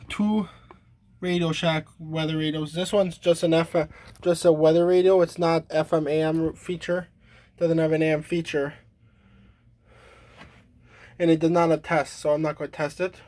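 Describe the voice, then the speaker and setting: A man giving a casual, unscripted demonstration as a hobbyist, halting and trailing off mid-thought. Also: a little high, little pitch movement, darkish tone. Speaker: hobbyist demonstrating a weather radio collection.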